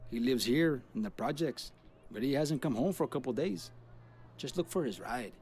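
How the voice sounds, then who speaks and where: Tough gang boss. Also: male voice, mid-range voice, expressive, normal speed, a little mellow, breathy edge. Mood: cool, menacing. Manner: street slang, tough talk. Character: tough, vengeful male street gang boss. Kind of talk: scripted video game dialogue.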